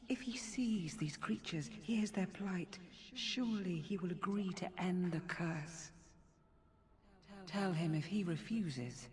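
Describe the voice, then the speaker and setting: An actress playing a serene, compassionate forest spirit in a video game, speaking in a measured, formal, solemn way. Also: female voice, fairly low pitch, a little mellow. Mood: earnest pleading. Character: serene, dignified female forest spirit, compassionate protector of beasts. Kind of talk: dramatic video game acting. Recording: long cavernous reverb.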